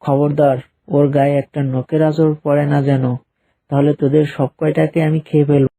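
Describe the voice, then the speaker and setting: A man's voice: a little high, level tone, a little fast, dark tone. A man voicing a stern, protective lion king in a children's cartoon. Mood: threatening.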